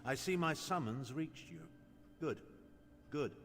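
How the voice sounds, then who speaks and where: An actor playing a secretive, commanding imperial schemer in a fantasy video game, speaking in a formal, persuasive way. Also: male voice, fairly high pitch, expressive, takes it slowly, a bit bright, a little hiss or hum, exaggerated voice. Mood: imperious, secretive. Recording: long hall reverb, music underneath. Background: game music.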